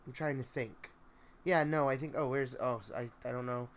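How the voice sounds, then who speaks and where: A man hosting a story-reading livestream, chatting casually in a halting, think-out-loud way over background noise. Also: medium pitch. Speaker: host of a spooky story reading stream. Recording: clear steady background noise.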